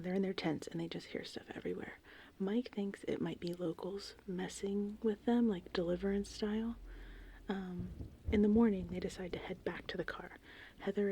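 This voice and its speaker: A woman speaking in a breathy, hushed whisper as an ASMR host, rambling informally through a film recap. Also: on the low side. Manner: informal, rambling, conversational retelling. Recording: faint background noise, rain and thunder ambience. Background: gentle rain and thunderstorm ambience.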